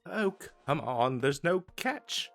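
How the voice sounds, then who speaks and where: Guy doing a eager wizard salesman for a fantasy comedy audio, super expressive up and down voice, showy sales patter, bit of music. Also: a little high, takes it slowly, creaky, heavily acted. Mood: flustered, defensive. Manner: salesman-like, flustered stammering, dramatic emphasis. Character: eager, boastful male wizard salesman hawking magical wares. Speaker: street vendor character in an audio roleplay.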